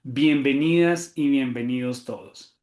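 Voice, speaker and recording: A man with a Colombian Spanish accent, a theology professor hosting a webinar, talking quickly and animatedly with frequent fillers and restarts. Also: mid-range voice, darkish tone, echoey room. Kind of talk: webinar presentation.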